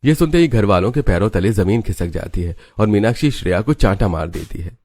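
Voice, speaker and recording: Low-voiced male cartoon narrator. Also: lively intonation, a little fast, darkish tone, no echo, clean studio sound. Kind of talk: scripted story narration for a Hindi cartoon.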